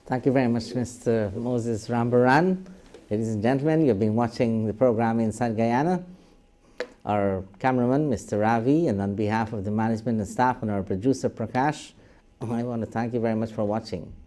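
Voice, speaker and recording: A man with a mellow, mid-pitched voice hosts a TV interview. His delivery is formal and measured, broken into short phrases with deliberate pauses, in a broadcast studio style. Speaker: TV interview host.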